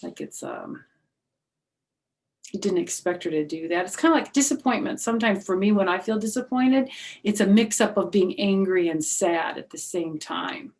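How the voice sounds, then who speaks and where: A woman's voice: mid-range voice, sing-song, moderate pace, darkish tone. A woman reading a children's book aloud expressively as a storyteller, with explanatory asides.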